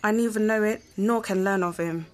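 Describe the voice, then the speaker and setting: A woman's voice: mid-range voice, moderate pace, slight background noise, natural voice. A woman playing a worried noble mother in a student Shakespeare audio play.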